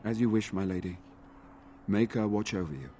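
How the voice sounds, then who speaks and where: An actor playing an earnest, dutiful knight in a fantasy video game, speaking formally and courteously. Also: male voice, on the low side, moderate pace, dark tone, slight background noise, natural voice. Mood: grave, informative. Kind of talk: video game dialogue acting.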